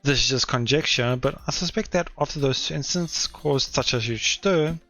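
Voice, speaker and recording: A wry, self-deprecating teenage schoolboy narrates his everyday life in a dry, understated way, as a man reads him aloud. The voice sits at an average pitch, with faint music playing underneath. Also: normal speed, a bit bright, natural voice. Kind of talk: reading visual novel text aloud.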